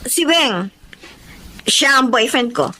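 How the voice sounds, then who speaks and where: A woman's voice: high voice, animated, slow pace, pushed and bright, a little hiss or hum, heavily acted. An actress with a Filipino accent playing a feisty young woman standing firm, with emphatic radio drama delivery. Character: feisty young woman firmly rejecting her clingy ex.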